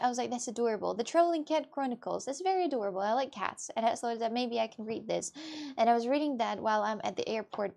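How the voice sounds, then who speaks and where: Woman, live-stream chat, fairly high pitch, hesitant, giggly. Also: gravelly, darkish tone. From Russia. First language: Russian. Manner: hesitant, halting English with many fillers and giggles. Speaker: livestreamer.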